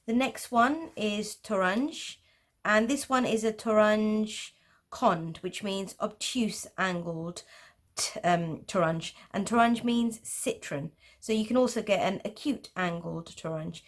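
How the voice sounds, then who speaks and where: A woman's voice: medium pitch, slow. Patient woman teaching a drawing lesson. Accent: Pakistani English. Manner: patient, explanatory, with light laughs. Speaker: art teacher. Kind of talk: tutorial explanation.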